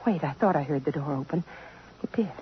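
A woman's voice: low voice, brisk, noisy background, lightly acted. An actress playing a poised, self-possessed young woman under suspicion in a 1950s radio drama, composed, direct and earnest. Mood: uneasy, firm. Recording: dull lo-fi 1950s radio recording.

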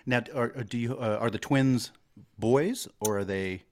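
A man's voice: medium pitch, a little slow, darkish tone. A middle-aged man hosting a casual interview, friendly and teasing, laughing often. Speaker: host.

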